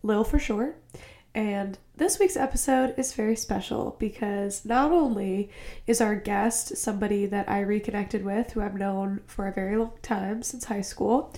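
A woman's voice: medium pitch, dark tone. Woman, young adult, podcast host, chatty, upbeat. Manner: chatty and upbeat, quick asides. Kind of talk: casual podcast interview. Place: home in a cold city.

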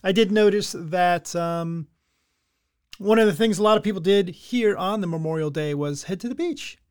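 A man's voice: high-pitched, normal speed, a little mellow, clear, resonant tone. A middle-aged man co-hosting a podcast in casual, crude, joking banter with many restarts. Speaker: podcast co-host.

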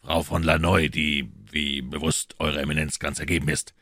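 An assured, deferential gentleman informant in an audiobook, voiced by a male narrator. His voice is very low. He reports in a measured, precise way, with a close, dry sound. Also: little pitch movement, no room sound, heavily acted. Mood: assured, urgent. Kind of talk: audiobook character dialogue.

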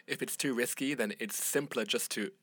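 A man hosting a podcast interview, asking relaxed follow-up questions. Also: mid-range voice, moderate pace, no echo. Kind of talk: conversational interview.

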